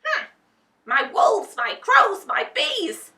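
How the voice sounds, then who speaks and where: A woman's voice: extremely high pitch, huge pitch swings, very slow, pushed and bright, far from the mic, voice pushed up, exaggerated voice. A woman voicing a gloating, bad-tempered wicked witch in a storybook, with a cackling, screaming delivery. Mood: angry scheming. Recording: roomy echo, away from the mic.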